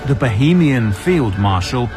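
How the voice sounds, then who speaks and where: A male news correspondent reads his report in a steady, measured way, at an ordinary middle pitch. Faint music sits quietly underneath his voice.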